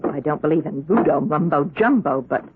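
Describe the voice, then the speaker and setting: A woman's voice: sits low, lively intonation, fairly quick. An actress playing a level-headed, earnest woman doctor in an old-time radio drama. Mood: serious, measured. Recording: noisy narrowband old radio recording.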